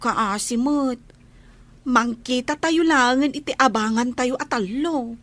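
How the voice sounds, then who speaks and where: A woman acts a young housemaid in a radio drama, emotional and stubbornly loyal. Her voice is very high and plaintive, slowly paced. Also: fairly bright tone. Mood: pleading, insistent. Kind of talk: Ilocano radio drama acting.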